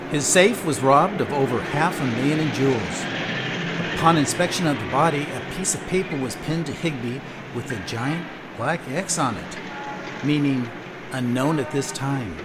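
A man's voice: medium pitch, expressive, normal speed, lightly acted. An actor playing a man on the street reading a newspaper aloud in an old-time radio drama. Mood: grave, intrigued. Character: male newspaper reader on a busy street. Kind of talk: 1940s radio drama acting. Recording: loud street traffic noise behind.

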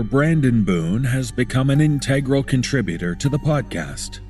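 Male podcast host, mid-pitched, measured scripted read with music underneath. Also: darkish tone. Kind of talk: scripted narration. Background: background music.

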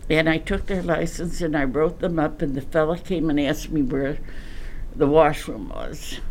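A woman's voice: deep voice, moderate pace, a little mellow, a little hiss or hum, low-quality recording. An older woman reminiscing casually as a court clerk in an interview, repeating phrases for emphasis.

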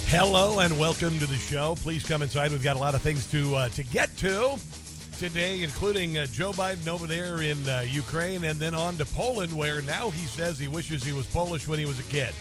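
A man's voice: a little high, a little fast, over music. A man hosting a talk radio show, rambling and sarcastic with lots of fillers. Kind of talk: casual talk radio monologue.

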